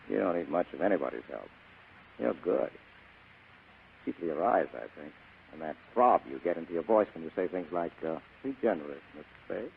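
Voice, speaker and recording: A man's voice: sits low, lively intonation, moderate pace, vocal fry, pauses often, a little hiss or hum. A man playing a wry, tough, cynical private detective in a 1940s radio drama, slangy and sardonic. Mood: cynical, probing. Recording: narrow, tinny old radio broadcast.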